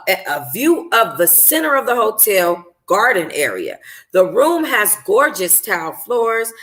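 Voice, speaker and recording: A woman's voice: medium pitch, pushed and bright. A woman reading a novel aloud in an informal way, as a book club host, sometimes stumbling and rereading phrases. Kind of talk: informal first-person audiobook narration.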